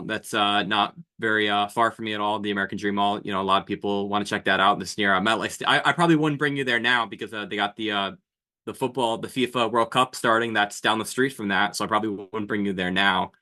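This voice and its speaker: A man's voice: fairly low pitch, fairly even intonation, fast talker, sharp tone. A man in his twenties, a podcast guest chatting casually, hesitant with lots of fillers and restarts. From New Jersey. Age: 20s. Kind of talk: casual chat.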